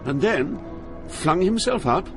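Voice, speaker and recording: A man giving a scripted dramatic narration as storyteller, solemn and theatrical, with measured phrasing. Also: high voice, animated, music in the background.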